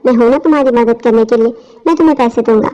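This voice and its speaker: Woman voicing a sweet-talking young bus conductor, high-pitched. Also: fast, warm tone, close to an everyday voice. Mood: tender. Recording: dull lo-fi audio.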